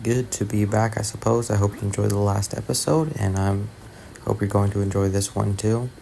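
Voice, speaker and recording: A man with a raspy, worn voice from long talking, giving low-key, hesitant voice-over commentary on gameplay in a casual way. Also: fairly even intonation, a little fast, mellow, slight background noise. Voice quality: raspy, gravelly. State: tired voice after long talking, throat-clearing. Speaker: gaming video host. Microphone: voice-over recorded separately from the footage.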